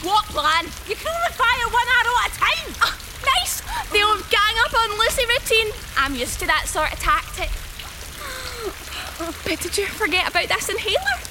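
A woman's voice: extremely high pitch, big pitch swings, normal speed, heavy background noise. An actress playing a cruel, gleefully taunting young woman turned killer in a horror radio play. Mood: taunting, bossy. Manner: mocking, menacing sing-song taunts.

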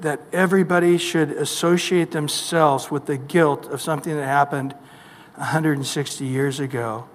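A man's voice: on the high side, in a large hall. A male pastor preaching a sermon emphatically, asking the congregation rhetorical questions. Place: church.

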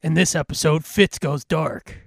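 A man's voice: on the high side, steady tone, unhurried, creaky, no room sound, clean studio sound. An American man trading casual, joking banter as a podcast co-host. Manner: joking, quipping.

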